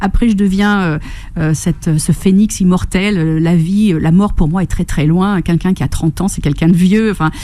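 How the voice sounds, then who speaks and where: A middle-aged woman from Corsica, a fitness coach speaking as a radio guest, enthusiastic and quick to laugh. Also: lowish voice. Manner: enthusiastic, laughs often, animated storytelling with quoted voices. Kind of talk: radio interview. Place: radio studio.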